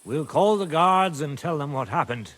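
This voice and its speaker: An actor voicing a worried, shrewd middle-aged mine owner and father in a video game, speaking in a measured, grave way. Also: on the high side, animated, a bit bright.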